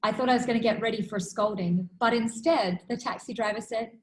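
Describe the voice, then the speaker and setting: A woman's voice: a little high, fast talker, poor audio. A woman giving a keynote talk, telling stories in an earnest, emphatic way. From Australia. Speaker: keynote speaker.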